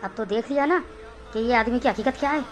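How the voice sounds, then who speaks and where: A woman playing a grave, insistent male doctor from the city in an animated horror story. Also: fairly high pitch, fast talker, natural voice. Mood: determined, secretive. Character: suspicious, determined male city doctor investigating villain.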